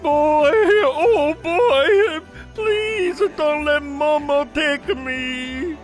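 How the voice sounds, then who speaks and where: Timid, folksy cartoon sidekick, high register, unhurried delivery. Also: faint music behind. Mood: frightened. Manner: folksy slangy phrasing with some Spanish. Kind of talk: animated cartoon voice acting.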